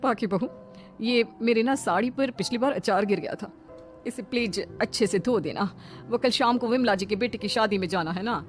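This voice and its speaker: A woman's voice: a little high, lightly acted. A woman voicing a demanding, quarrelsome older mother-in-law in a cartoon, bossy and cajoling.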